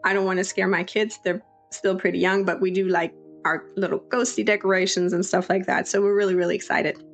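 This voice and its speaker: A young woman with a sore throat and a raspy voice, chatting through a casual voiceover for her game-building video, apologetic and full of restarts. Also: mid-range voice, little pitch movement, fairly quick. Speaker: YouTube creator. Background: faint background music.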